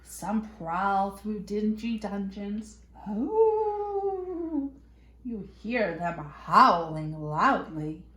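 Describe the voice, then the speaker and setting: A woman reading a picture book aloud to young children in an expressive, playful way with dramatic emphasis. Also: mid-range voice, very slow, a little hiss or hum. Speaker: children's storyteller. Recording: echoey room.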